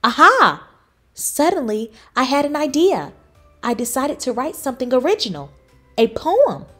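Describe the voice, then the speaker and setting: A woman voicing an earnest, anxious cookie schoolchild in a children's storybook, with expressive, dramatic emphasis. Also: sits high, a little slow, faint music behind, heavily acted. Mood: excited, triumphant. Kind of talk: children's storybook read-aloud.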